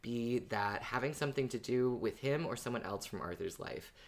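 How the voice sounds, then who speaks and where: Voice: medium pitch, lively intonation, normal speed. A podcast co-host chatting casually about fan theories, thinking aloud and reading out listener comments.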